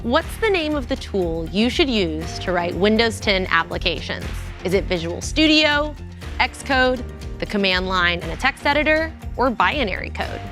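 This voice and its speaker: A woman's voice: medium pitch, very animated, moderate pace, a bit bright, soft background music. A woman co-hosting a live tech event stream, bubbly and playful in her banter. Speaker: event co-host.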